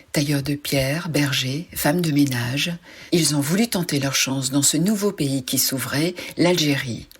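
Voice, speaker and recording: A woman hosting a podcast, reading a scripted narration in a measured, calm way. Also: deep voice, husky. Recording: narration over music.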